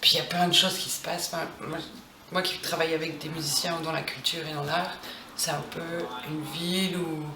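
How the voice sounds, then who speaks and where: A French woman in her thirties answering interview questions casually, with many restarts. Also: low-pitched. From France. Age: 30s. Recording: roomy, distant, crowd behind.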